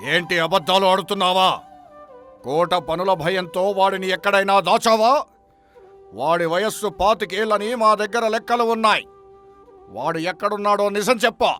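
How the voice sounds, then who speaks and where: A man's voice: high-pitched, steady tone, moderate pace, sharp tone, soft background music. A man playing a stern, commanding king's soldier in a folk story, speaking with formal authority. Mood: suspicious, demanding. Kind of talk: moral-story dramatization.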